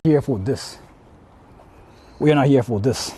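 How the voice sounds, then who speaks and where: A man's voice: medium pitch, unhurried, mellow, husky. A young man streaming live while exploring outdoors, talking casually and rambling in asides to his viewers. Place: outdoors exploring a rural area.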